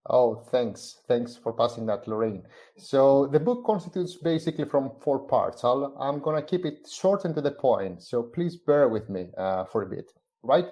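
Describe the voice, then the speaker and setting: A man's voice: mid-range voice, animated, mellow. Greek man presenting a book. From Greece. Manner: measured, with frequent "uh" fillers. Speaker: chief growth officer, co-author. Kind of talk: semi-scripted presentation on a live stream.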